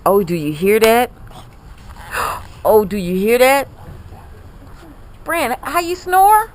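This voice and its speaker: A woman's voice: fairly high pitch, big pitch swings, takes it slowly, slight background noise. A middle-aged or older woman, a grandmother, casually coaxing a child through reading with encouragement, exclamations and repeated prompts. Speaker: grandmother coaching a child to read.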